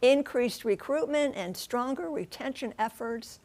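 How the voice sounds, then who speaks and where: High-voiced woman reporting TV news. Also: animated, a little slow, darkish tone. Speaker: news transportation correspondent and pilot. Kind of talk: explanatory TV news reporting, conversational.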